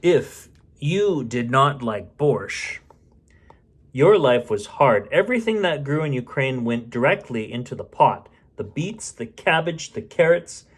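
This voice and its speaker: A man's voice: medium pitch, big pitch swings, measured pace, slightly rough. A man reading a storybook aloud expressively, with casual muttered asides as he goes. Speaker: bedtime story reader.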